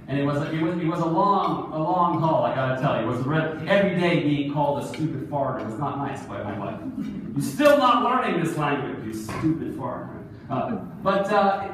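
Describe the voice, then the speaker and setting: A man in his forties telling stories as a keynote speaker on stage, lively, asking the audience questions. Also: a little high, a little fast. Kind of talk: storytelling keynote speech. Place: large conference hall, on stage. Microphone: phone camera far from the stage. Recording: echoey hall recorded from far off.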